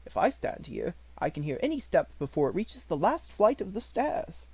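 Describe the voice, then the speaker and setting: A devoted Indian manservant from a children's storybook, acted out by a male reader. He is respectful and watchful, with formal, poetic phrasing. The voice is raised and melodic, with a little creak, recorded dry. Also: high-pitched, very animated, moderate pace, a little mellow, no echo, pitched above the performer's own voice, full character voice.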